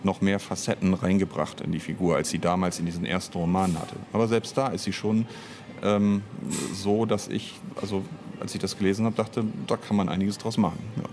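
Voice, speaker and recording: A man with a deep, gravelly voice talks casually as an interview guest. He is measured and hesitant, pausing as he thinks. There is faint noise in the background. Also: fairly even intonation. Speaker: interviewed audio drama writer and director.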